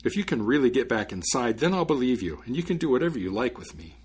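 A man's voice: medium pitch, very animated, quick pace, darkish tone, slightly rough. A man voicing a cheerful, fearless young student in a storybook, with a bold, casual, lilting delivery. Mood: cool, unafraid.

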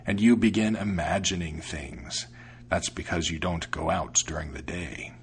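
A man voicing a sly, greedy male storybook cat who talks slowly and dryly as he deceives. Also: deep voice, creaky, a little hiss or hum, voice pushed down. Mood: dismissive. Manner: slow, dry, drawn-out delivery. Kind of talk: storybook character voice.

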